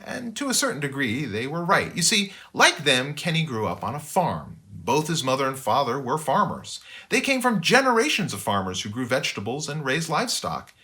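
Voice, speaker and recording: A man reading his own book aloud for children in an expressive way, with conversational asides. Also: mid-range voice, normal speed, few pauses. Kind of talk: animated storybook read-aloud for children.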